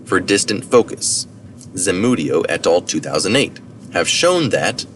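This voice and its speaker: A man's voice: low voice, very animated, a little slow, a bit bright. A man reading a science article aloud as narration, with informal asides for citations. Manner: informal asides for citations, occasional self-correction. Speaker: reader of articles. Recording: distant mic, noticeable background noise.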